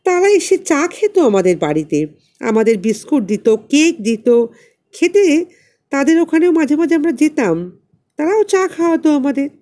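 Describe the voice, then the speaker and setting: A woman's voice: high voice, big pitch swings, normal speed, warm tone, pitched above the performer's own voice. Woman voicing a proud, naive little boy. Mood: proud, boastful. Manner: boastful, eager. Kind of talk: audiobook character voice.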